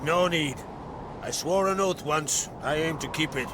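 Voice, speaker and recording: An actor playing a proud, fatherly island jarl in a video game, speaking in a deliberate, solemn way with rustic phrasing. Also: a little high, background noise, lightly acted. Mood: grave, measured. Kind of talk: dramatic video game dialogue. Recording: game scene with loud music underneath.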